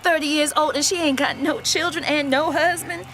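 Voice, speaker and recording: Sassy, judgmental church lady played by a woman, high voice, radio drama, faint noise behind. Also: steady tone, normal speed, fairly bright tone. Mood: gossipy, scolding. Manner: sassy, opinionated, chatty. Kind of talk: stage-play dialogue for radio.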